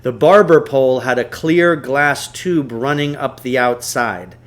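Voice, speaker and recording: A man reading a storybook aloud as narrator, in a deliberate, expressive way. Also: medium pitch. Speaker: teacher reading a book aloud.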